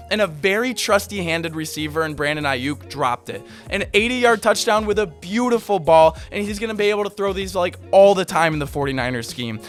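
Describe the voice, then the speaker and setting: A high-pitched man talks through football film in an energetic, opinionated way. His voice is hoarse and partly gone from being under the weather, and faint music plays under him. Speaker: sports video host. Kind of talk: football film breakdown commentary.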